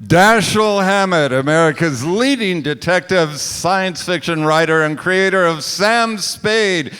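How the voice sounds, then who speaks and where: A man's voice: high-pitched, unhurried, fairly bright tone. A man announcing in a theatrical, emphatic 1940s radio style, reading scripted introductions and advertising copy. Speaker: radio play director and announcer. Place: stage of a large hall. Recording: echoey stage hall, music behind.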